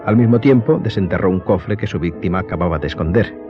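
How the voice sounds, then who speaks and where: A man's voice: deep voice, fast, dull audio, music in the background, close to an everyday voice. A man narrating an audio drama in a scripted, dramatic storytelling style.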